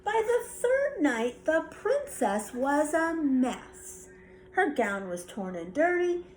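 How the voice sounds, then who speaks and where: A woman reading a children's bedtime story aloud with expressive narration. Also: high voice, takes it slowly, slight background noise, low-quality recording. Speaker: storybook reader.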